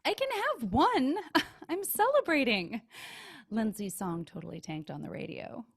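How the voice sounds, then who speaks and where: Smug, high-voiced bossy wife. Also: female voice, expressive, a little slow, gravelly, husky, heavily acted. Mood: gloating. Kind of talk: table read of a TV comedy script.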